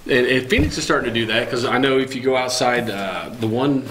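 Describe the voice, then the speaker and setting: A man co-hosting a casual chat, chatty and quick to chime in. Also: mid-range voice, animated, a crowd in the background. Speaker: podcast co-host.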